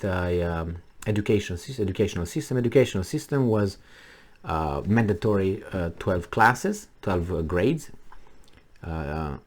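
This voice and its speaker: A middle-aged man from Romania, talking casually to his subscribers in a halting way with many pauses and fillers. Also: low voice. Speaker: vlogger. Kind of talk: casual monologue to camera.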